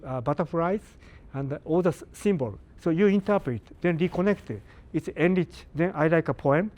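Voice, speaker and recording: Older man, slightly high voice, halting broken english, lecturing a live audience. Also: a little mellow. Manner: halting non-native English with many pauses and restarts; engages the audience with questions. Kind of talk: live academic talk to an audience.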